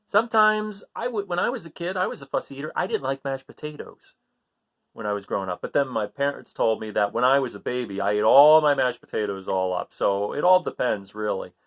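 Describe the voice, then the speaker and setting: A man's voice: medium pitch, animated, brisk, a bit bright. A man reading a picture book aloud for children, chatting to the young listener in casual asides.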